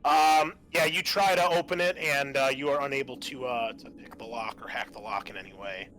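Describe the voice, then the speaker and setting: A man narrating and refereeing a tabletop role-playing game as game master, explaining rules in a halting way. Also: medium pitch, fairly bright tone, poor audio.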